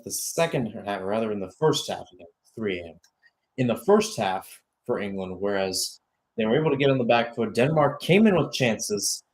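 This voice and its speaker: A man's voice: medium pitch, rough recording. Young man calling live soccer play-by-play. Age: young adult. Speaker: play-by-play commentator. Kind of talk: live radio soccer commentary.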